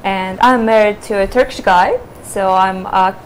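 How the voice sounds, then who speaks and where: Woman from Kyrgyzstan, Russian accent, conversational panel guest, mid-pitched voice, slight background noise. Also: measured pace, poor audio. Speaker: panelist, MBA student, former lawyer. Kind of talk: casual panel introduction.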